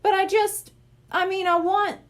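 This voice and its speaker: A woman's voice: very high-pitched, flat delivery, a little slow, pitched above the performer's own voice. A woman reading a storybook as a stubborn, carefree young boy with an offhand, defensive way of talking.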